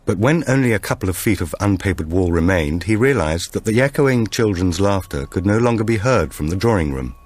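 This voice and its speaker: A man reading a thriller audiobook as a dramatic narrator, with measured, expressive storytelling. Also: low-pitched.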